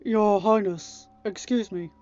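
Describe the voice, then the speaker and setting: A woman's voice: mid-range voice, fairly even intonation, takes it slowly, mellow, lo-fi sound, soft background music, lightly acted. A woman voicing a polite, concerned older former king with a formal, deferential manner in a storybook reading. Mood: polite, questioning.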